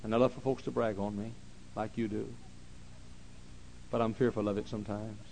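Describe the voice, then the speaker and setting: Man preaching, hushed to shouting. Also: fairly low pitch, flat delivery, creaky, slight background noise, low-quality recording. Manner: swings from hushed, slow talk to loud shouting; repeats phrases for emphasis. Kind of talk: sermon.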